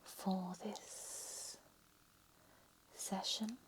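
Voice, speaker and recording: A woman whispering a slow, soft ASMR lecture roleplay, her voice slightly croaky. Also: medium pitch, flat delivery.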